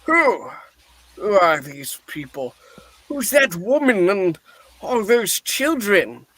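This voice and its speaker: A man's voice: high-pitched, sing-song, slow, noisy background, exaggerated voice. A man playing a humbled, anxious old miser in a radio play reading, hesitant and pleading. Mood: wondering, uneasy.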